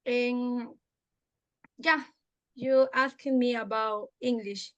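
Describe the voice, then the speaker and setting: A woman in her twenties from Peru talks in earnest, encouraging learner English. Her voice sits slightly high, and she speaks openly in a relaxed practice chat. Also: slow. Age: 20s. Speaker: conversation host. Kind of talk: casual practice conversation in English.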